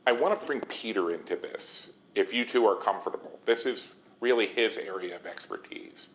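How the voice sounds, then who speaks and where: An actor playing a grave, measured male investigator in naturalistic game dialogue. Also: fairly low pitch, close to an everyday voice. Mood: concerned. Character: grave male investigator alarmed by hidden cult messages. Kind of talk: naturalistic recorded meeting dialogue. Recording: noisy meeting tape.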